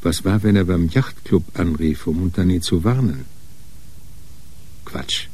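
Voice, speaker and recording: A man narrating a crime radio play in a measured, sober storytelling manner. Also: sits low, mellow, slightly rough.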